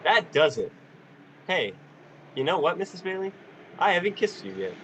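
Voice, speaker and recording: A man's voice: sits high, very animated, moderate pace, fairly bright tone, a little hiss or hum, rough recording. A man playing an earnest, headstrong young newlywed husband in a 1940s style radio play. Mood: eager, then concerned. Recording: home recording for online radio play.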